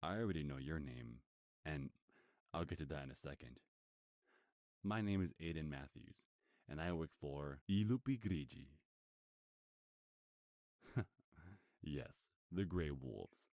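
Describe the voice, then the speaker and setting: Werewolf bounty hunter, played by a male ASMR actor: rock-bottom pitch, cocky, teasing, menacing, very dry sound. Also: lively intonation, a little mellow, no echo, heavily acted. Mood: smug, explanatory. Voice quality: booming deep voice. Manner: smug, slow teasing menace. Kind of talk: ASMR roleplay acting.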